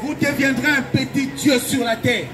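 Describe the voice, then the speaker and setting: A man's voice: extremely high pitch, fairly even intonation, normal speed, a crowd in the background. A man from Belgium preaching an evangelistic sermon in an urgent, exhorting and emphatic way, repeating his phrases. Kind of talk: fervent open-air evangelistic sermon.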